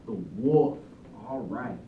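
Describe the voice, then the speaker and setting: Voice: medium pitch, animated, slow, narrow, tinny audio, slight background noise. A teacher doing an interactive read-aloud, prompting listeners with questions, recorded from a distance in a roomy space. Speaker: teacher reading aloud to children. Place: indoor room with a group of children.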